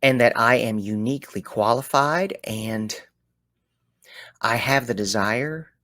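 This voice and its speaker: A man with a Southern US accent talking through his thoughts in a reflective solo show, animated, with folksy turns of phrase and sudden loud bursts. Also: mid-range voice, takes it slowly, no room sound, clean studio sound. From American South. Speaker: podcast host.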